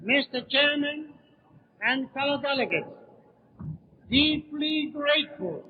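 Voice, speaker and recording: A man's voice: very high voice, lively intonation, slow pace, phone-quality sound. A man from Puerto Rico giving a formal, emphatic seconding speech as a convention delegate on an old 1930s radio broadcast. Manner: emphatic, ceremonious. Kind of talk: formal political convention oratory. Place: large convention hall.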